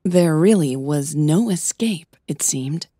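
A woman's voice: deep voice, animated, takes it slowly, mellow, breathy edge, no room sound, studio quality. A woman reading scripted fiction as the narrator of an audio drama podcast.

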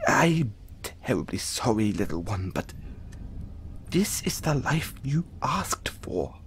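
A man playing an anxious, apologetic dollmaker in an audio roleplay, stammering and halting as he speaks to the listener. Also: medium pitch, sing-song, slight background noise. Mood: sorrowful, apologetic. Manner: stammering, halting, flustered, placating. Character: anxious, apologetic male dollmaker who dabbles in soul magic.

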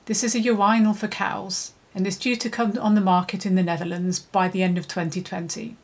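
A middle-aged woman, an environmental consultant, giving a measured, scripted educational presentation to camera in a studio. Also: mid-range voice. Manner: measured, clear, steady delivery. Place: indoor studio, plain background.

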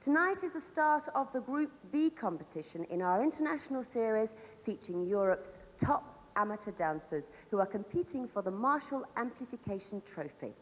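A woman's voice: a little high, lively intonation, fairly quick. A woman presenting a ballroom dance show from the stage, introducing the couples on a handheld mic. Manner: poised, welcoming announcer. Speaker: host. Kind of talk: formal presenting. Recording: live ballroom broadcast with reverb. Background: loud crowd and room noise.

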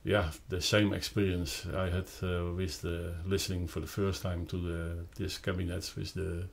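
An older man with a Dutch accent explains a product off the cuff, haltingly searching for words. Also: low voice, little pitch movement, creaky. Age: 60s or older. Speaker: loudspeaker designer presenting his product. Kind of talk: unscripted explanatory product talk. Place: carpeted, wood-panelled listening room indoors.

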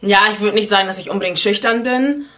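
Casting: woman in her twenties, lifestyle vlogger, bright and chatty, playful asides, talking to camera from her living room. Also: medium pitch, quick pace, soft background music. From Ghana. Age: 20s. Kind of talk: casual chat to camera. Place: living room at home, indoors.

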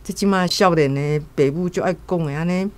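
A woman's voice: lowish voice, brisk. A woman with a Taiwanese accent casually retelling a story as a guest commentator, acting out quotes.

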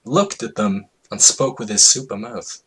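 A man giving a mock-dramatic reading of a comedic story in an over-the-top, deliberately absurd way, drawing out words. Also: on the low side, animated, fairly bright tone, slight background noise.

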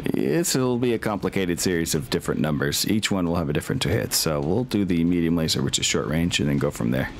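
A man's voice: low voice, lively intonation, quick pace, creaky, few pauses. A man casually running an online tabletop wargame as game master, thinking aloud through rules and rolls. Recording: music running under the voice. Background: music.

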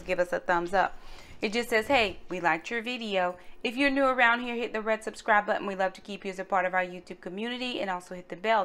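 A woman with a medium-pitched voice narrates a home cooking vlog. She talks in a casual, everyday way, addressing her viewers directly from her kitchen. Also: a bit bright.

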